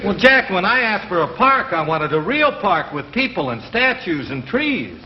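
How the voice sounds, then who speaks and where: A man who sings and leads the band on a 1950s radio comedy, talking in an easygoing way and gently explaining things to a child. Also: high voice, sing-song, normal speed, muffled recording, a crowd in the background. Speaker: singer and bandleader. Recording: old radio broadcast with music.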